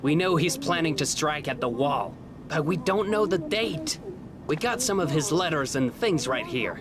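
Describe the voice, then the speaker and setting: A man playing a goofy, eager small-time crook in a video game, speaking in an animated way. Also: a little high, normal speed, a crowd in the background, close to an everyday voice. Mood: earnest.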